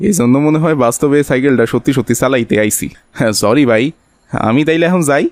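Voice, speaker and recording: A man's voice: mid-range voice, fast talker, dry recording, close to an everyday voice. A man voicing a sly, wheedling petty thief in a cartoon, speaking colloquial Bangladeshi dialect with a stream of excuses. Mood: confused, feigning innocence. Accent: colloquial Bangladeshi Bengali dialect. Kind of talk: cartoon voice acting.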